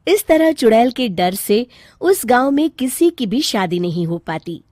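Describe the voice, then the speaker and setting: A woman narrating a cartoon story in an expressive storytelling manner. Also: a little high, unhurried, dry recording, studio quality.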